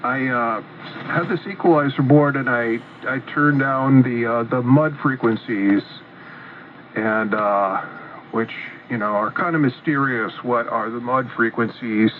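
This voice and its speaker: Slow, hesitant man with throat clearing. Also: medium pitch. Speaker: ham radio operator. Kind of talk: casual radio chat. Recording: tinny radio receiver with faint static.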